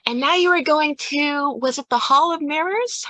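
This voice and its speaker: Bright, high-voiced woman running a game. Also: normal speed, dry recording, low-quality recording. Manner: playful, wryly hinting. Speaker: game master. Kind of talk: casual recap of a tabletop game on a livestream.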